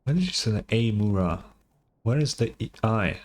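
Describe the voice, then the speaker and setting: A man's voice: fairly low pitch, lively intonation, normal speed, darkish tone. A man giving casual live reaction commentary as a game streamer, blunt, skeptical and sweary.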